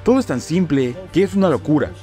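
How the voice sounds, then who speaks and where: A man's voice: on the high side, animated, fast. A man giving a dubbed motivational speech in an earnest, emphatic tone, recorded as a studio voice-over. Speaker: motivational speaker. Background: soft background music.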